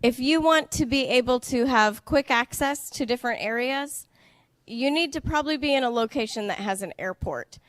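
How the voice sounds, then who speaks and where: Clear, patient woman giving a talk. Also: sits high, moderate pace, no room sound. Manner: clear, patient, checks understanding. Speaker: international student services director. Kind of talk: slow, simple presentation for English learners.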